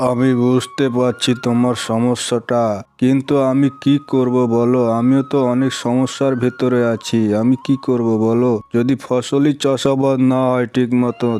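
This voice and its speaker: An actor playing a burdened but reasonable village moneylender, speaking in a measured, explanatory way for a cartoon story. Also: male voice, mid-range voice, warm tone, natural voice. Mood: sympathetic, troubled. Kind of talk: cartoon moral story dialogue. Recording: music behind the voice.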